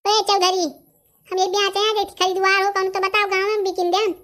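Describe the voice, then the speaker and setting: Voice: extremely high pitch, flat delivery, brisk, a bit bright. An actor with a Bundelkhandi accent voicing a chatty, stubborn young villager in a comedy cartoon. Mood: chatty, insistent.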